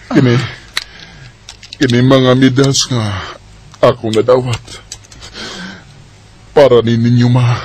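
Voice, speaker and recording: A young man voicing a grateful new graduate in a radio drama, earnest and thankful, with a husky voice. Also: medium pitch, little pitch movement, slow, pauses often, a little mellow, a little hiss or hum, close to an everyday voice. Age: young adult.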